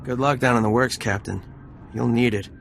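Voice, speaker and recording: A man's voice: medium pitch, steady tone, moderate pace, gravelly, a little hiss or hum, close to an everyday voice. A male actor playing a cocky, grumbling adventurer in a sci-fi video game, with a dry, sarcastic delivery. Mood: wry. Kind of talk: video game cutscene acting.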